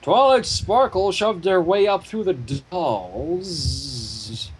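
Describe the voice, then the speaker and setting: A man reading a story aloud dramatically, with expressive reactions and asides. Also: sits high, drawn-out words, background noise. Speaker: fan fiction reader.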